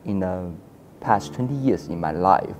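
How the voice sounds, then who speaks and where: A man's voice: sits low, expressive, a little slow. A man in his forties from Taiwan, an architecture historian telling his story in a casual interview, acting out quoted dialogue and laughing often. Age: 40s.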